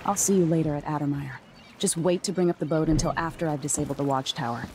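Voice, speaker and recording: An actress playing a determined young empress on a covert mission in a video game, speaking in a low-key, resolute way. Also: female voice, quick pace, darkish tone, a little hiss or hum, lightly acted, low-quality recording. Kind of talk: video game cutscene dialogue.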